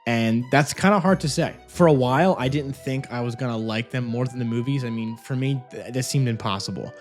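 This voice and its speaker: A man's voice: medium pitch, fairly quick. A man giving an enthusiastic, casual review as a vlog host, talking into a microphone in a home office. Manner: enthusiastic, gushing. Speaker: audiobook review video host. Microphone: separate microphone with phone camera. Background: music.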